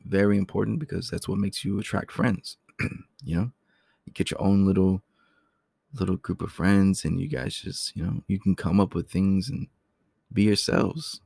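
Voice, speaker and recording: Raspy low man, gravelly and chatty. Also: fairly even intonation, moderate pace, a little mellow, no echo, studio quality. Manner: clears throat often, loose and chatty. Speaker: podcast host. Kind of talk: casual solo talk.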